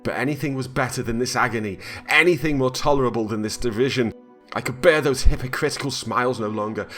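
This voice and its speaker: A man's voice: medium pitch, quick pace, fairly bright tone, natural voice. A man voicing a mad murderer confessing in dramatic gothic horror storytelling, theatrical and emphatic, with music behind him. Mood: frantic, tormented. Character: mad murderer confessing, boastful, then frantic and tormented.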